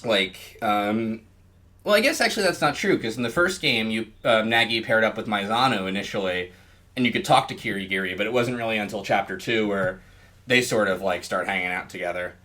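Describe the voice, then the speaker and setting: A man's voice: fairly low pitch, a little fast, pushed and bright. A man hosting a podcast, chatting casually and rambling with lots of "like" and "you know". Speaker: podcast host, interviewer.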